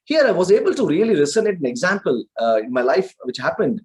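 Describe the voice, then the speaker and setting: A man's voice: a little high, very animated, fast talker, a little mellow. A man with an Indian English accent giving a motivational book review in an earnest way, addressing listeners as friends. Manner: checks in with okay and right. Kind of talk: talk-style book review.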